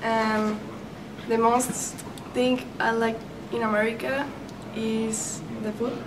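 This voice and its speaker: Even-toned Colombian-accented teenage girl. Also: on the high side, slow pace. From Cali, Colombia. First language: Spanish. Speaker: exchange student. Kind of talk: self-introduction to camera. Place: indoor school room. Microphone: camera mic at a distance. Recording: distant mic, noisy background.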